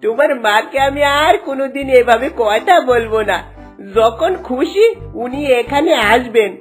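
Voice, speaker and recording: A woman's voice: on the high side, a little slow, faint music behind, close to an everyday voice. A woman voicing a greedy, blustering husband who turns cowardly and whiny in a children's cartoon. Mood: frightened, pleading.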